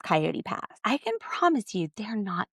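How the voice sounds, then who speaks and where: A woman's voice: mid-range voice, sing-song, a little slow, gravelly, a bit bright, husky, no room sound, clean studio sound. A woman hosting a podcast, giving a solo recap of a TV show in a blunt, opinionated way with sarcastic asides. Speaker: podcast host.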